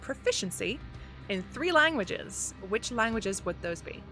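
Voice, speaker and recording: Bright-voiced woman running a tabletop game. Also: fairly high pitch, very animated, faint music behind. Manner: upbeat, quick to laugh, reading rules aloud. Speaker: game master.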